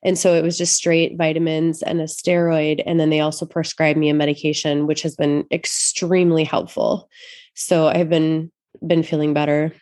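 A woman's voice: deep voice, little pitch movement, normal speed, darkish tone, no echo, clean studio sound. Stuffy-nosed woman podcast co-host. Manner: chatty, rambling with restarts. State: stuffy nose, just over an illness. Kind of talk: casual faith podcast chat.

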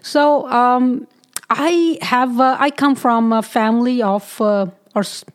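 A woman from Pakistan sits as a guest in a studio interview. Her voice sits a little high, and she talks her way through her story hesitantly, filling pauses and laughing often. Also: clear, resonant tone. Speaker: guest physician. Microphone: studio mic.

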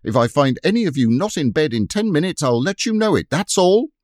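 A man's voice: very animated, a little fast, no room sound, studio quality. A man doing an angry scolding housemaid. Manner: shrill, sharp scolding.

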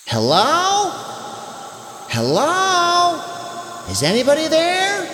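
A man's voice: high voice, very animated, slow pace, a bit bright, clean tone, dry recording, full character voice. A man voicing a bewildered, innocent young boy in a children's story, exclaiming and asking questions. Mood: calling out. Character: wide-eyed, bewildered young boy at summer camp.

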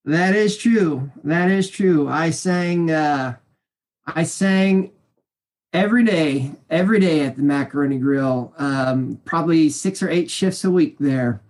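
A man in his forties with a high, light speaking voice tells long stories in a chatty, meandering way. He leans on fillers and restarts his sentences as he goes. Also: a little slow, darkish tone. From Kansas City area, US. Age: 40s. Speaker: guest. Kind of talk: casual interview storytelling.

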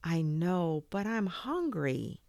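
A woman's voice: on the low side, big pitch swings, takes it slowly, vocal fry, darkish tone, no room sound, clean studio sound, pitched above the performer's own voice, full character voice. Woman voicing a timid, needy little toy buddy. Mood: pleading.

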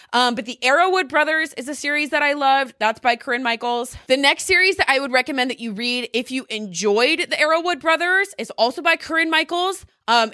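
Female vlogger, high bright voice, gushing and conversational, very dry close sound. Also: no room sound. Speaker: vlogger recommending favorite books. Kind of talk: casual chatty storytime monologue to camera.

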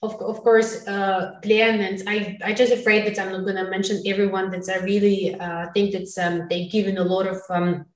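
A woman answering casually as an interview guest, halting as she searches for words, with non-native phrasing. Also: mid-range voice, little pitch movement. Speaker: interview guest, recruiting sourcer. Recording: roomy, echoey, distant mic.

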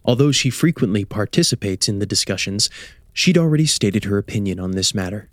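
A man's voice: fairly low pitch, expressive, fairly quick, dry recording. A man reading scripted story narration as the narrator of an audio drama.

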